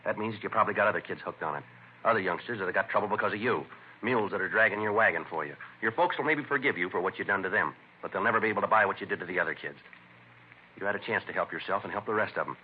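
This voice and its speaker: Low, clipped radio police detective. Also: male voice, racing, slightly rough. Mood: stern lecturing. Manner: terse, matter-of-fact, authoritative. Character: stern, clipped, no-nonsense male police detective. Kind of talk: 1950s radio drama acting and narration. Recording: muffled, noisy vintage radio recording.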